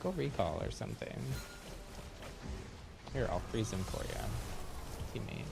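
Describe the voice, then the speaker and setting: A man's voice: on the low side, animated, measured pace, creaky. A man casually commentating on his gameplay as a streamer, with playful mock exasperation. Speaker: game streamer. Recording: loud game music behind voice. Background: loud game music.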